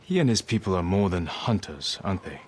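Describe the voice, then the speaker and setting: Deep-voiced, grave samurai lord. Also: male voice, sing-song, a little hiss or hum. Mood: calm, commanding. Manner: terse, measured, serious. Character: steadfast, grave young samurai lord leading a war. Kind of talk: dramatic video game cutscene acting.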